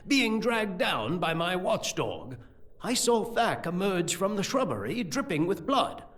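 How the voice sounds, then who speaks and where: A man playing a learned, scheming sorcerer-priest in a fantasy audio drama, speaking in a deliberate, formal, explanatory way. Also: a little high, fairly bright tone.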